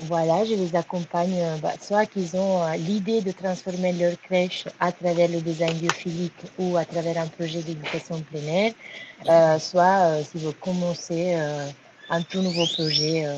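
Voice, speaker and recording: A woman's voice: fairly low pitch, fairly even intonation, measured pace. A woman with an Italian accent, introducing herself casually on an online call, often searching for words. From Italy. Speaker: outdoor education trainer introducing herself. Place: online video call from abroad. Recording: noisy, dull lo-fi call audio.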